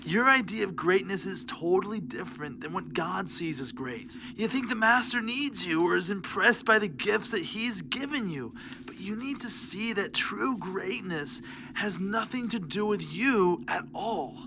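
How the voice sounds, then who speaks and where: A woman's voice: medium pitch, animated, a little slow, gravelly, background noise. A woman playing a firm, knowing guardian angel, frank and earnest in a children's audio drama. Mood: admonishing.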